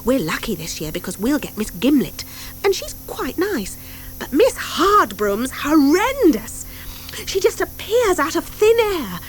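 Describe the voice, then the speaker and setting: Woman playing a dramatic, high-voiced schoolgirl witch. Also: very animated, measured pace, low-quality recording. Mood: dramatic, gossipy. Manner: gossipy, dramatic, emphatic. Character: bold young schoolgirl witch, dramatic storyteller among friends. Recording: hissy old audio cassette.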